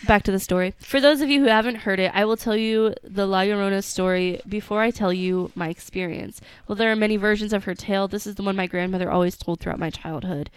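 A woman's voice: mid-range voice, fast talker, hardly any pauses, clean tone, dry recording, low-quality recording. A woman co-hosting a podcast, reading a story aloud with joking asides to her co-hosts. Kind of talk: casual chat and story reading.

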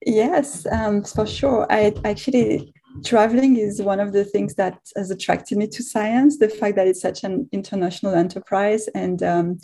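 A middle-aged woman, whose voice has a gravelly, creaky edge. Her tone is mellow and dark rather than bright. She speaks haltingly, restarting and correcting herself often as she answers interview questions. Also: mid-range voice, fairly even intonation. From France. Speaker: guest physics professor. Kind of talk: casual podcast interview.

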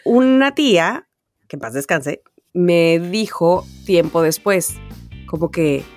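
A woman's voice: on the low side, animated, slow pace, a little mellow, soft background music. A woman co-hosting a podcast, telling personal stories casually and expressively, quoting remembered dialogue as she goes. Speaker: podcast co-host.

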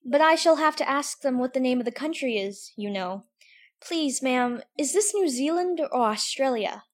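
Young girl, high-pitched, prim, wondering, chatty self-talk, audiobook. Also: lively intonation, moderate pace. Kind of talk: full-cast audiobook acting.

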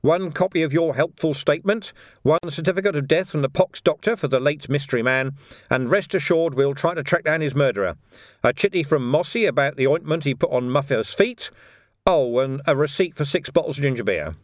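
A man's voice: fairly high pitch, fairly quick, no echo, clean studio sound, voice pushed up, natural voice. A man voicing a wily male police commander who needles a suspect with sardonic, mock-friendly talk. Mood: cheerful sardonic.

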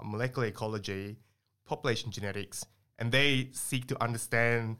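A man's voice: lowish voice, fairly bright tone. A young man from Singapore, a scientist guest, explaining his work in a chatty way with lots of fillers and restarts. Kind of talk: casual interview talk.

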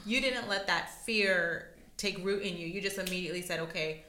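A woman's voice: lowish voice, normal speed, bright, forceful tone, slight background noise. A woman hosting an interview, encouraging and affirming her guest. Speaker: podcast host. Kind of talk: casual interview. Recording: echoey room.

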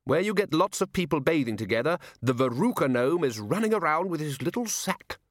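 A man voicing a loud, bossy older male head wizard who blusters and insists on getting his way. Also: a little high, animated, brisk, no room sound, clean studio sound, voice pushed up, natural voice. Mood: blustering. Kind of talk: audiobook character voice.

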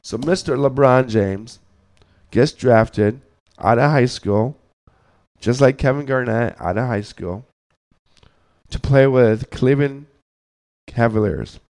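A hoarse man, getting over the flu, hosts a podcast and delivers a blunt, scolding sports rant, often repeating words as he thinks. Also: mid-range voice, slow, long pauses, a little mellow. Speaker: podcast host.

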